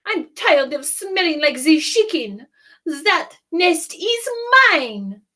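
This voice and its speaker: A woman's voice: high voice, sing-song, takes it slowly, fairly bright tone, voice pushed up, full character voice. A woman voicing a grumpy, grabby duck in a children's story, indignant and emphatic. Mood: fed up.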